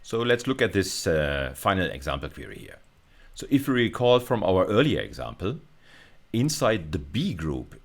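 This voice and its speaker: A man lecturing at university level in an informal screencast style, explaining hesitantly and often repeating and rephrasing himself. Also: low-pitched.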